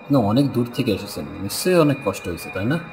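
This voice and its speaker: An actor playing a greedy, callous, lecherous villager and killer in a horror cartoon, exclaiming and muttering theatrically. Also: male voice, mid-range voice, sing-song, quick pace, over music, lightly acted. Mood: oily, welcoming.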